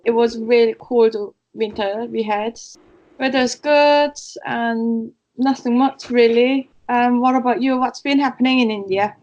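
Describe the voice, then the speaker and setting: A woman's voice: on the high side, steady tone, measured pace, a little mellow. A woman from Korea, co-hosting a casual podcast and telling stories with frequent laughs. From South Korea. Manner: chatty storyteller who laughs often. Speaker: podcast co-host. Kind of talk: casual chat. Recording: slightly dull lo-fi sound.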